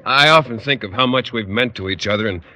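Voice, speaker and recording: An actor playing a bashful, earnest American biology teacher who talks hesitantly and stammers, in 1950s radio sitcom style. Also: male voice, medium pitch, quick pace, pushed and bright, in a large hall.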